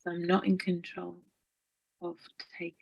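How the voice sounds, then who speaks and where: A woman in a reflective conversation answers questions slowly and thoughtfully. She adds self-deprecating humor. The audio is dry and close. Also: lowish voice, monotone, vocal fry, breathy edge, dry recording, lo-fi sound. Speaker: guest.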